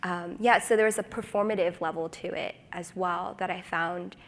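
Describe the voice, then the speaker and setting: Low-voiced woman, eager panelist. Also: steady tone, normal speed, creaky, fairly bright tone. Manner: enthusiastic, laughs often, many fillers. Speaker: panelist writer. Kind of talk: panel discussion. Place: conference stage.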